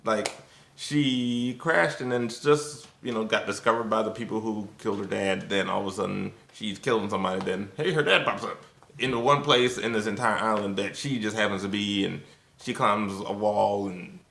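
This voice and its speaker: A man's voice: lowish voice, steady tone, normal speed, gravelly, a bit bright, a little hiss or hum. A man talking casually and a bit loosely to viewers as he reviews, in an informal conversational way. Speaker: video reviewer. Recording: roomy, echoing sound.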